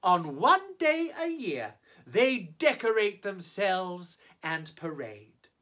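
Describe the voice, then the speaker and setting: A man performing as a drag storyteller, reading a children's picture book theatrically with exaggerated emphasis and drawn-out words. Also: high-pitched, sing-song, sharp tone. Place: small indoor set, on camera.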